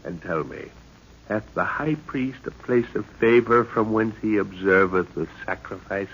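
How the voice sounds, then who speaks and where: A man acting a commanding, middle-aged expedition leader in an old-time radio serial, measured and authoritative. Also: lowish voice, fairly bright tone. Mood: stately, probing. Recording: muffled, noisy old radio recording.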